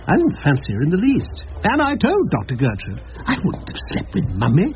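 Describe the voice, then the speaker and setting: A fussy, earnest upper-class English barrister in midlife. His voice is creaky and swings about in a flustered way. It is a dull radio drama recording with faint noise. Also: a little high, wildly swooping pitch, a little fast. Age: middle-aged. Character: fussy, anxious middle-aged male English barrister.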